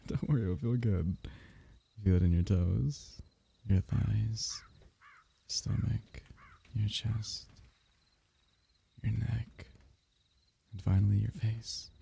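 A man voicing a possessive, soothing alien symbiote in an ASMR roleplay, speaking in a soft, coaxing, intimate way with breathy drops into whisper. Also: on the low side, steady tone, slow, long pauses, exaggerated voice. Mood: teasing, intimate. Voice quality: husky, breathy, often dropping into whisper. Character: possessive, soothing alien symbiote, male, semi-liquid creature.

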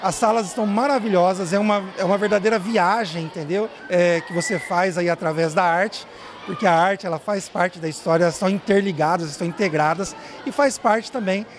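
A man's voice: sits high, fairly quick, background noise. A middle-aged man interviewed outdoors at night on a handheld mic, chatty and tag-filled. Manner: chatty, laughing, lots of "né?" tags. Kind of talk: on-camera interview. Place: open school courtyard at night. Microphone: handheld reporter mic.

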